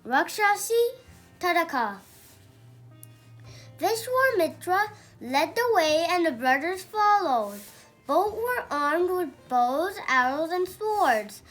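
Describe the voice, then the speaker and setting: Very high child's voice, storybook read-aloud, bright and emphatic, unhurried, careful delivery. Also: sing-song, faint music behind. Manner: careful, emphatic reading with occasional stumbles. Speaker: young storyteller reading aloud.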